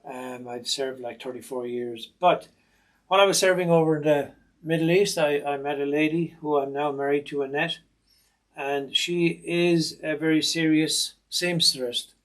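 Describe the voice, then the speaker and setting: A middle-aged man with an Irish accent talks through a repair lesson step by step, methodical and plain-spoken. His voice sits a little high for a man. He often pauses on an um or an uh while he works. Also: fairly even intonation. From Ireland. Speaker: sewing machine technician. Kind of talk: instructional demonstration.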